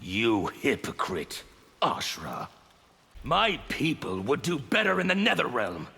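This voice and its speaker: An actor playing a fanatical, defiant anarchist villain in a fighting game, with a strained, theatrical delivery. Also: male voice, mid-range voice, huge pitch swings, slow pace, pushed and bright, full character voice. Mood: contemptuous. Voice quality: gravelly, strained. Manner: theatrical, declamatory. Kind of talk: fighting game character dialogue. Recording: long reverb, faint background noise.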